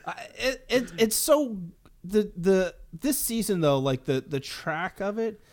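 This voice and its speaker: A man's voice: high-pitched, animated, measured pace. A man co-hosting a podcast in casual chat, chiming in and agreeing. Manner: agreeing, interjecting. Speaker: podcast co-host.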